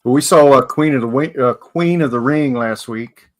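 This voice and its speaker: A middle-aged man takes part in a casual panel chat. His voice sits at a medium pitch, and he talks informally as one of the guests. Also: moderate pace. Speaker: podcast panelist.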